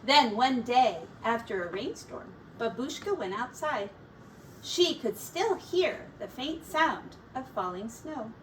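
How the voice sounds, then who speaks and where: A woman reading a children's picture book aloud as a teacher, in a deliberate, expressive storytelling manner. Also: a little high. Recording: distant mic with faint background noise.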